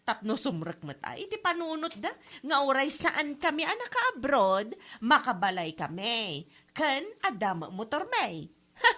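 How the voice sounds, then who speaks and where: A woman's voice: sits high, big pitch swings, measured pace, pushed and bright, full character voice. A woman with an Ilocano accent playing a cheeky, boastful, unapologetic wife in a comedy drama, smug and drawling.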